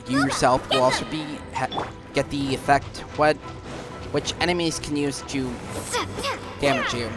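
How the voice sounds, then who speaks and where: A man giving casual commentary on a game playthrough, halting now and then as he thinks aloud. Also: medium pitch. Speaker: gaming video host. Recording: game music behind the voice.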